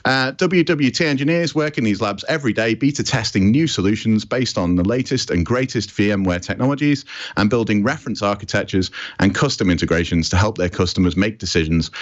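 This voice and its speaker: A man's voice: fairly low pitch, highs cut off. A British man presenting a podcast in a chatty, informal and self-deprecating way. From Britain. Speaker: podcast host.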